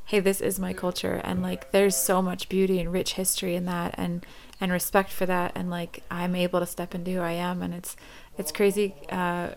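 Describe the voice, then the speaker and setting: Gravelly low woman, chatty interviewer. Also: completely flat delivery, normal speed, hardly any pauses, darkish tone. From United States. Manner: filler-heavy, uses "like" a lot. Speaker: host. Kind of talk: casual interview chat.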